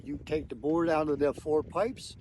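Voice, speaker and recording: A man's voice: fairly high pitch, fairly even intonation, normal speed, warm tone, a little hiss or hum. A man speaking as a tour guide, explaining things step by step in short, emphatic declarative phrases.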